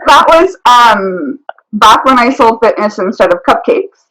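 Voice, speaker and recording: A woman being interviewed as a business owner, chatting casually and pausing often to think. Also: on the high side, animated, a bit bright, rough recording. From born in Thailand, raised travelling the world. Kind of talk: casual chat.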